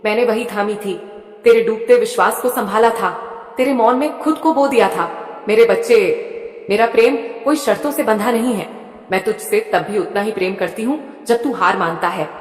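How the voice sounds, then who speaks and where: Soft motherly goddess done by a woman, soothing and close, talking straight to you, big echoey hall sound. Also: fairly high pitch, moderate pace, natural voice. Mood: consoling. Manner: soothing, intimate second-person address. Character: tender motherly goddess speaking to a grieving devotee. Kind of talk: devotional monologue. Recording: echoey with long hall reverb.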